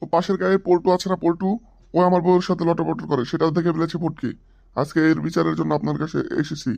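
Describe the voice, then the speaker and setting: A man's voice: a little high, level tone, fast talker, creaky, a little mellow. A man voicing a jealous, quarrelsome old village husband, nagging and blustering in an exaggerated comic Bengali drama style. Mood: complaining.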